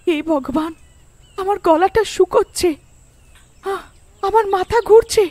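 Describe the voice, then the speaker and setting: A woman's voice: very high voice, unhurried, noisy background, pitched above the performer's own voice. A woman voicing a distressed, thirsty outcast village woman in a cartoon, lamenting plaintively. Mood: anguished, faint.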